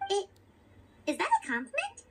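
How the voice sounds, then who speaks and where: A woman voicing a tiny, childlike, cheeky fairy companion in a video game, squeaky and exclamatory. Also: wildly swooping pitch, normal speed, full character voice. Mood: cheeky, surprised. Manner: squeaky, exclamatory, refers to self in third person. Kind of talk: English video game cartoonish voice acting. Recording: game audio with loud music behind.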